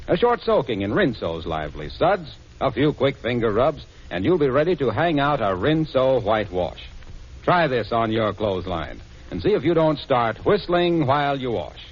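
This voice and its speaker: A man's voice: mid-range voice, breathy edge. A man announcing a sponsored 1940s radio show with polished, emphatic scripted delivery. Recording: dull vintage 1940s radio recording.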